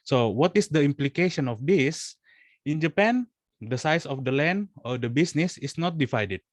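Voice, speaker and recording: A young man from Indonesia, a PhD student, presenting to an online class with frequent pauses and fillers. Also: a little high, animated, dry recording. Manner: hesitant, many fillers and restarts.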